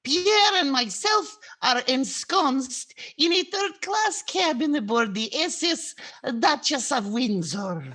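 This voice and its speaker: A woman's voice: high voice, takes it slowly, few pauses, exaggerated voice. A woman playing a long-suffering, complaining housewife with inverted phrasing, in 1940s radio comedy style. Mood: woeful, droll. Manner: inverted syntax, dramatic deadpan punchlines. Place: indoors on a video call.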